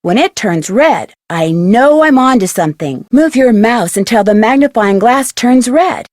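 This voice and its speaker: An actress playing an inquisitive, self-assured young female detective in an adventure game, speaking in a clear conversational way. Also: on the high side, animated, unhurried, darkish tone, dry recording, clean studio sound. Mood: upbeat, instructive. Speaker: game protagonist and tutorial guide. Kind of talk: scripted adventure game dialogue and narration.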